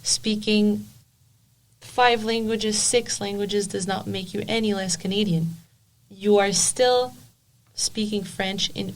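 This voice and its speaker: A woman's voice: medium pitch, poor audio. A woman speaking as a podcast guest, making her points emphatically and repeating them for stress. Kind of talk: casual discussion.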